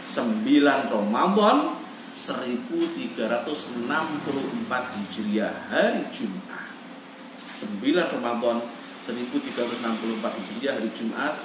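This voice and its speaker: A man delivers an Islamic sermon at a middling pitch. He speaks like a deliberate orator, stressing and repeating key phrases. The sound is very echoey and noticeably distant, like an online meeting. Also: noisy background. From Indonesia. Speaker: Islamic preacher.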